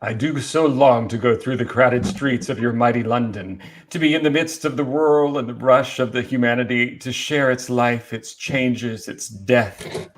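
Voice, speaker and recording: A man playing a proud, courtly old vampire count in an amateur dramatic read, speaking formally and deliberately. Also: mid-range voice, close to an everyday voice. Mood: wistful, courtly.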